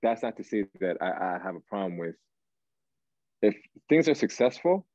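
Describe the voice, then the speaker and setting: A man's voice: on the low side, very animated, normal speed, a little mellow, no room sound. A man, chatting casually as an interview guest, slangy and often checking that he is followed. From Canada, raised in Toronto. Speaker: guest, R&B singer. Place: indoor room. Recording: dull, lo-fi video call.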